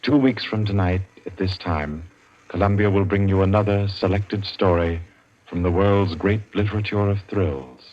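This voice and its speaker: A deep-voiced male radio announcer in the 1940s manner. He reads in measured, formal phrases, heard through a muffled vintage broadcast recording. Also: steady tone.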